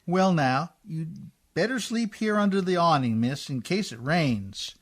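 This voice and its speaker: An actor playing a rough but polite riverboat man in a radio play, husky, with folksy, ungrammatical, deferential speech. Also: a little high, expressive, a little slow. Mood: sheepish, deferential. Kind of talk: old-time radio play acting.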